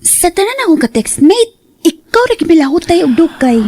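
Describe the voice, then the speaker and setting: Hesitant young-sounding housewife, Central Visayan accent, high husky voice, close dry radio drama sound. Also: female voice, big pitch swings, normal speed, darkish tone, no room sound, heavily acted. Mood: shy, flustered. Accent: Central Visayan Filipino. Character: Jean, naive, hesitant married mother with phone textmates. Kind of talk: Cebuano radio drama acting.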